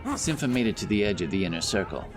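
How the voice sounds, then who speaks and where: A man's voice: fairly low pitch, big pitch swings, fast talker. A man narrating a scripted fantasy audiobook as storyteller. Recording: narration over loud background music. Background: music, outdoor sound effects.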